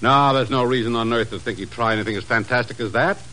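A middle-aged man playing a sceptical, excitable intelligence chief in a 1950s radio drama, blustery and incredulous. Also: medium pitch, fast, slight background noise, natural voice, low-quality recording. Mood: alarmed.

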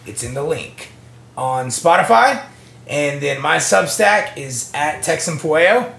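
A man hosting a podcast, chatting crudely and boastfully with friends, often loud and laughing. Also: on the high side, animated, slow pace, gravelly. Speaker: podcast host. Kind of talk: crude casual banter among friends. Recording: echoey room with some background noise.

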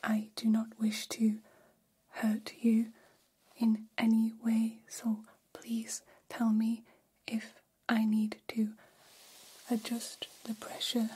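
A woman voicing a gentle, curious alien in an ASMR roleplay, whispering with deliberate, stilted formal phrasing. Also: a little high, slightly rough, full character voice.

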